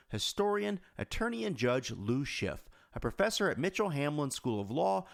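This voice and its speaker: A man hosting a podcast, reading a scripted intro with upbeat emphasis, then asking the guest questions. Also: mid-range voice. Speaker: podcast host.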